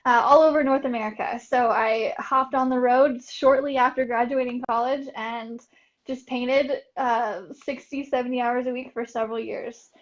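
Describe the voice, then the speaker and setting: A woman's voice: fairly high pitch, fairly even intonation, normal speed. A young woman artist, a guest in a casual interview, talking upbeat with frequent fillers and laughs. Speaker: artist and art business coach, guest. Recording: dull lo-fi audio.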